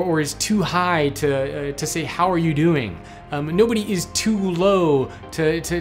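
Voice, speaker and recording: A man in his thirties hosting a leadership show, earnestly telling a story and addressing the viewer directly in a small studio. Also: fairly high pitch. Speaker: podcast host. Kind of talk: scripted motivational talk to camera. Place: small studio lit with neon tubes, indoors.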